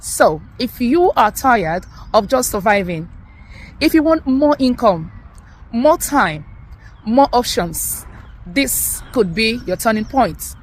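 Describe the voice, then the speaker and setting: A woman from Nigeria urges listeners on in an encouraging, persuasive way. Her voice is fairly high and lively, moving up and down as she speaks. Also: takes it slowly, slight background noise, poor audio. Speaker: mother of four, healthcare worker. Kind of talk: promotional testimonial.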